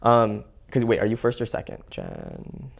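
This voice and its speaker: A young man from the Seattle area, talking as an interview guest, halting with many fillers and restarts. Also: huge pitch swings. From Seattle area, United States. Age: young adult. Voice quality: gravelly low voice. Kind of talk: casual conversation.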